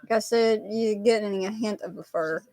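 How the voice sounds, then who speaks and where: A woman with a raspy, worn-out voice talking flatly while she narrates her drawing. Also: moderate pace, dry recording. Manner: monotone, low-energy. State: tired worn-out voice. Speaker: art vlogger.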